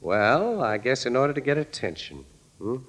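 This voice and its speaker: A man's voice: mid-range voice, sing-song, moderate pace, a bit bright. An actor playing a shrewd young crime-solving newspaperman in an old-time radio drama, wry and probing, with hesitant phrasing. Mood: accusing, assured.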